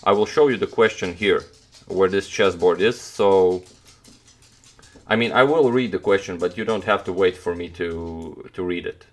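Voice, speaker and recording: Young Croatian man, deep voice, halting casual streamer chat. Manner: hesitant, many fillers and restarts. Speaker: chess streamer answering chat.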